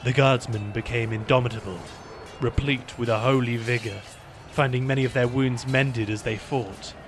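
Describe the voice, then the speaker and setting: A man narrating a fantasy battle in measured, scripted storytelling for a fan audio drama. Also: medium pitch, steady tone, over music.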